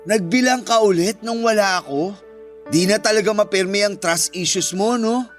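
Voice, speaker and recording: A man's voice: high voice, moderate pace, lightly acted. A man with a Filipino accent playing a defensive, evasive boyfriend in a radio drama. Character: defensive, evasive boyfriend caught stealing savings. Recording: music under the voice. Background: background music.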